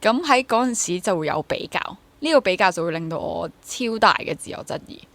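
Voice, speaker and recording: A woman's voice: lowish voice, animated, a little fast, a bit bright. Young Hong Kong woman talking candidly. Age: 20s. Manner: candid, self-questioning, mixes English words into sentences. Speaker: interview guest, freelance theatre actor and drama teacher. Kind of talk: casual, reflective interview conversation.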